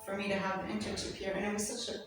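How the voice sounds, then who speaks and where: A woman's voice: medium pitch, completely flat delivery, very fast, slight background noise. A young woman, a student whose first language is Arabic, chatting casually as an interview guest with many fillers. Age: young adult. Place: school set. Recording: echoey room, mic far off, music behind.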